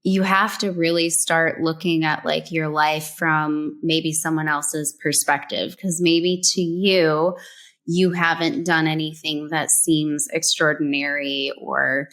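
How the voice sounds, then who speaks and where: A woman's voice: deep voice, slow pace. A woman from New Mexico, a brand story strategist, chatting casually as an interview guest and giving advice with many "like"s and "um"s. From New Mexico, USA. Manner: relaxed, conversational, many "like"s and "um"s.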